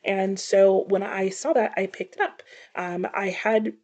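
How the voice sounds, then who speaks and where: A woman's voice: mid-range voice, a little slow, a little mellow. A middle-aged woman chatting casually to viewers about books, thinking aloud and correcting herself as she goes. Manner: musing, self-correcting, conversational. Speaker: book vlogger.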